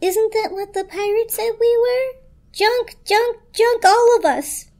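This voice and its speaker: A woman voicing a hysterical, self-pitying electric blanket in a shrill, wailing shriek for a story reading. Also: steady tone, slow, a little mellow. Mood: hysterical despair. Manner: wailing, overwrought.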